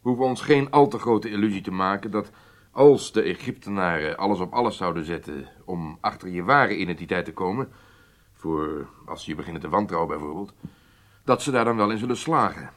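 An actor playing a commanding, matter-of-fact intelligence chief in a radio drama, speaking in a deliberate, explanatory way. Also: male voice, low-pitched, a bit bright. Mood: instructive. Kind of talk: Dutch radio drama acting.

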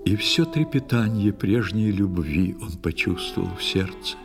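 A man's voice: lowish voice, expressive, a little slow, faint music behind. A man narrating a poetic fairy tale as a storyteller, theatrically and intimately, as if confiding in the reader.

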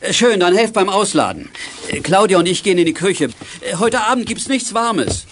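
Genial middle-aged dad, higher-pitched, animated, reassuring; children's radio play. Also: male voice, moderate pace, a little hiss or hum. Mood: relaxed, genial. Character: genial, easygoing father leading a group of kids.

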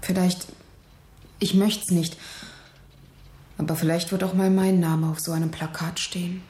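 A woman's voice: fairly low pitch, level tone, a little slow, darkish tone, slight background noise, lightly acted. An actress playing an earnest, defiant young factory woman in a radio drama, speaking with intensity. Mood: grieving, defiant. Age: young adult. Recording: roomy, echoing interior.

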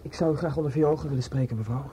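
An actor playing a guilt-ridden, timid teenage boy in a radio drama, halting, stammering and deferential. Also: mid-range voice, warm tone, slight background noise, lightly acted. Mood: anguished, hesitant.

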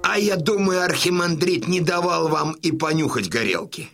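An actor playing a boisterous, domineering old Cossack colonel and father in a Russian radio drama, with a rough, commanding, folksy delivery. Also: fairly high pitch, expressive, moderate pace, over music. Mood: teasing. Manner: commanding, hearty, folksy, declamatory.

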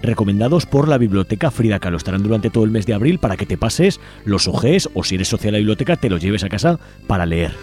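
A man's voice: on the low side, animated, fast talker, a little mellow, soft background music. A man with an accent from Spain hosting community radio, giving informal announcements and addressing listeners directly in a conversational, inviting way. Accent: Spanish from Spain.

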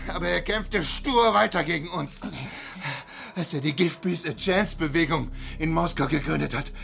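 Gravelly, tense Soviet officer, defiant. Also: male voice, on the high side, moderate pace, fairly bright tone. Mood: strained, angry. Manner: tense, grudging, clipped answers. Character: hard, defiant male Soviet secret-service officer under threat. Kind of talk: radio drama acting.

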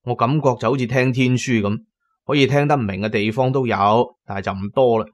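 An actor with a Cantonese accent playing a pragmatic tomb raider who tells his own adventures in a radio drama, measured and colloquial. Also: mid-range voice, fairly even intonation, close to an everyday voice. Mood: wry, narrating. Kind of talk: first-person radio drama narration and dialogue.

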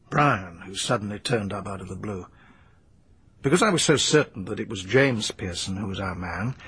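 A dogged, polite police inspector. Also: male voice, medium pitch, fairly bright tone, breathy edge, no room sound. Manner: measured, polite, persistent. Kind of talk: radio drama acting.

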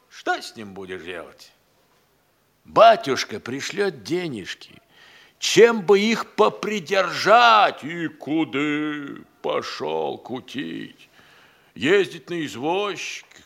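Grumbling servant, slow drawl, swooping pitch, crafty and earthy, theatrical audio-play monologue. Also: male voice, very animated, creaky, a bit bright, heavily acted. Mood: aggrieved, grumbling. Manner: folksy, drawling, sighing, grumbling, relishing his words. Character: grumbling, hungry, idle manservant, crafty and earthy.

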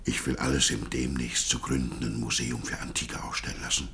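An actor playing an earnest, commanding Germanic war leader in a radio play, with solemn, declamatory delivery. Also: male voice, a bass voice, flat delivery, fairly quick, fairly bright tone, slight background noise.

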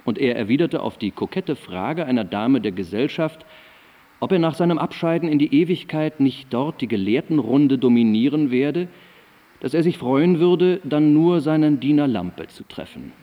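An actor playing a learned, reverent admirer recalling an esteemed man in a radio drama, formal, literary and deliberate. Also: medium pitch, lively intonation, narrow, tinny audio, lightly acted. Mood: respectful, genial. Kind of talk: radio drama recital of historical testimony. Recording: reverberant hall sound.

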